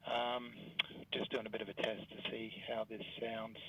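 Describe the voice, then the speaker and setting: A man talking casually and haltingly in an unscripted audio test, heard through a radio-like Bluetooth intercom. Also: fairly low pitch, little pitch movement, a bit bright, husky, low-quality recording. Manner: halting, casual, thinking aloud. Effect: Bluetooth intercom transmission, radio-like. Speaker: video maker testing a headset. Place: bedroom. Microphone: intercom headset, re-recorded by a phone.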